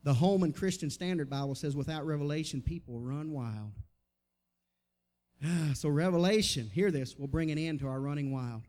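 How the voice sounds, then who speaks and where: A man's voice: a little high, normal speed, a little mellow. A man with a Southern American accent preaching a charismatic church sermon, folksy and colloquial, exhorting his listeners and calling on them to respond. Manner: exhortatory, with rhetorical questions and calls for response. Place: church, preaching to a gathered congregation.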